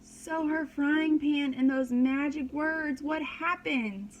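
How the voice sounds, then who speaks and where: Woman, preschool teacher, storybook read-aloud, slow, expressive, fairly high voice, faint background noise. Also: poor audio. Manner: deliberate, expressive, explaining. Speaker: pre-kindergarten teacher. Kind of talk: read-aloud storybook narration for young children.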